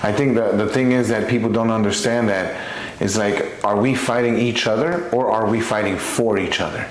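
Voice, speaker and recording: Male podcast co-host, lowish pitch, sincere and exhorting, conversational delivery in a reverberant room. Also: fairly even intonation, moderate pace. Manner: earnest, exhorting, repeats phrases for emphasis. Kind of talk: conversational faith and marriage podcast talk. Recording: echoey room with faint background noise.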